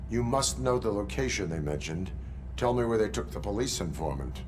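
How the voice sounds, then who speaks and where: An actor playing a stern, dutiful cyborg police officer in a video game, clipped, formal, deadpan and commanding. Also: male voice, on the low side, a little fast, noisy background, close to an everyday voice.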